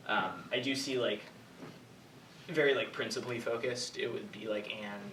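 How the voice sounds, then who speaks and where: A young man answering questions informally as a writer, haltingly thinking aloud and hedging as he goes. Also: on the low side, a bit bright. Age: young adult. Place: indoor room with an audience. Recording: echoey room from far off, clear background noise.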